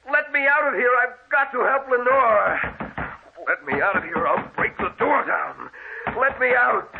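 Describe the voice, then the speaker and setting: A man's voice: extremely high pitch, creaky, breathy edge, full character voice. An actor playing a frantic, anguished man locked in a room, pleading melodramatically in old-time radio drama style. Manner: pleading, melodramatic, repetitive cries. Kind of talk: 1940s radio drama acting. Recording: noisy tinny old radio recording.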